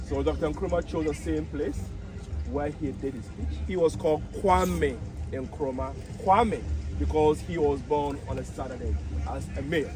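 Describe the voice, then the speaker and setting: A man from Ghana with a Ghanaian accent, explaining history as a tour guide in a measured way. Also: fairly high pitch, rough recording. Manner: measured, explanatory, rising emphasis on key points. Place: outdoor memorial park by a city road. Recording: outdoor traffic noise.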